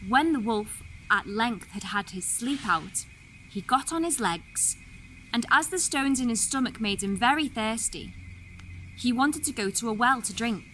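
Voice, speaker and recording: A woman's voice: a little high, animated, measured pace, sharp tone, a little hiss or hum, rough recording. A woman narrating a fairy tale expressively, with dramatic, varied emphasis. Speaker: story reader.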